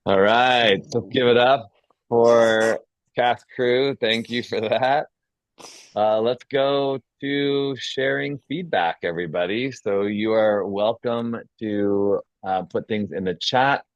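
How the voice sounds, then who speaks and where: A man's voice: medium pitch, slow pace, no echo, poor audio. A man speaking as host and narrator of an informal script table read. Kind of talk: stage-direction reading and casual feedback discussion.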